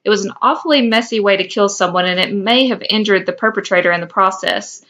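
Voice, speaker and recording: A woman's voice: medium pitch, fast talker, a bit bright. A woman co-hosting a podcast, reading a story aloud and now and then stumbling over a word. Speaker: podcast co-host. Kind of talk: reading fan fiction aloud.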